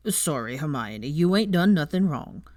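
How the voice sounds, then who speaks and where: A woman voicing a big, kind, awkward gamekeeper man with a gruff voice and halting, rustic, muttering speech, as in an audiobook. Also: low voice, expressive, darkish tone, no echo, clean studio sound. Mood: sad, apologetic.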